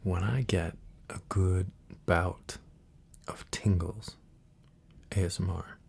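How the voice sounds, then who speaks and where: Male ASMR reader, dark breathy tone, soft and gentle, very even delivery. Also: deep voice, slow. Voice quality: soft, breathy, husky, sometimes near a whisper. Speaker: ASMR video creator and reader. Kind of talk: soft-spoken ASMR talk and poem reading.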